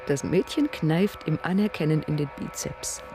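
A woman's voice: low-pitched, normal speed. A woman narrating a literary radio play in a storytelling style. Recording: music under the voice.

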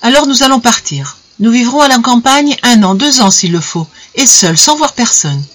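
Narrator acting a calm, unyielding wife, slightly husky. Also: medium pitch, normal speed, voice pushed up. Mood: gently firm. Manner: simple, unruffled, matter-of-fact answers. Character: calm, impenetrable young wife, quietly firm.

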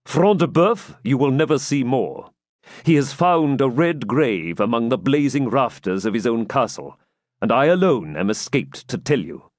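A man's voice: mid-range voice, expressive, moderate pace, no room sound, clean studio sound, lightly acted. A man voicing a grim, battle-worn medieval knight with solemn, emphatic archaic speech.

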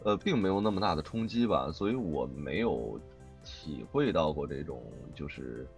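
A man's voice: rumbling low, big pitch swings, moderate pace, faint music behind. A man speaking as a voice actor guest in a casual interview, emphatically repeating his points.

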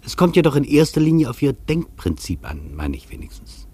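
An actor playing an earnest, reflective traveller in a scripted radio feature, speaking deliberately and rhetorically. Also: male voice, mid-range voice, big pitch swings, dark tone, a little hiss or hum, lightly acted. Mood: earnest, circling. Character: earnest reflective male traveller recounting an imagined interview. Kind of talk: scripted German radio feature, literary narration.

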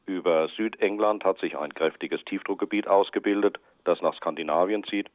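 An actor playing a calm, formal weather forecaster in a radio drama, measured and heard through a telephone. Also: male voice, sits low, no echo. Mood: matter-of-fact. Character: calm, matter-of-fact weather office forecaster. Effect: telephone filter. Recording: tinny phone line.

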